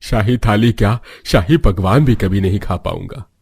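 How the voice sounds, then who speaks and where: A man's voice: on the low side, moderate pace, gravelly, darkish tone. A man voicing a despondent, self-pitying older father-in-law in a cartoon story. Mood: gloomy. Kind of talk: Hindi cartoon story acting.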